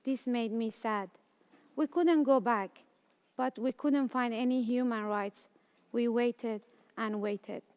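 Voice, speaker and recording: Late-teen woman from Afghanistan and Iran, student storyteller, smooth voice, slow careful delivery. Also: on the high side, big-room sound, lo-fi sound. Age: late teens. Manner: slow, careful, earnest reading. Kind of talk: reading a personal story.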